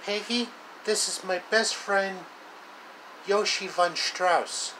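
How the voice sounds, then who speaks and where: Man putting on a high-voiced, plucky young girl. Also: fairly even intonation, slow pace, sharp tone, a little hiss or hum, exaggerated voice, rough recording. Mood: warm, reassuring. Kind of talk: storybook character voice.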